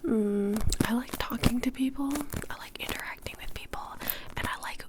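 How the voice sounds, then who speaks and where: A woman's voice: a little high, normal speed. Whispering young woman, casual ASMR. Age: young adult. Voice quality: breathy, mostly unvoiced whisper. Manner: casual, rambling, repeats words softly. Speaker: ASMR creator answering viewer questions. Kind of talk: whispered ASMR question-and-answer.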